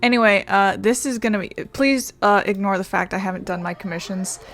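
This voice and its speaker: A woman's voice: medium pitch, steady tone, fairly quick, fairly bright tone, faint music behind. A woman game streamer giving casual, rambling, chatty commentary as she plays. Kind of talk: casual let's play commentary.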